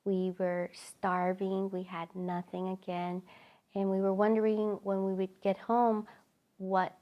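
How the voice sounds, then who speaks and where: A middle-aged woman from El Salvador tells her personal testimony in a halting, reflective way with many pauses. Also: lowish voice, lo-fi sound. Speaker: ministry founder.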